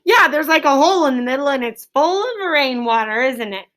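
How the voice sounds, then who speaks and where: A woman with a high voice works as an elementary school teacher leading a lesson. She puts questions to her pupils in a directive way. She repeats their answers back to confirm them. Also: animated, fairly quick, a bit bright. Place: classroom with pupils.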